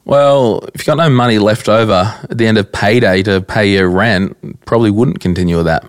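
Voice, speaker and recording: A young Australian man with a croaky voice hosting a casual solo podcast at his desk, answering listener questions bluntly and chattily. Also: lowish voice, moderate pace, no echo, studio quality. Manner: chatty, blunt, rambling with fillers. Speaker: podcast host. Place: at a desk, indoors.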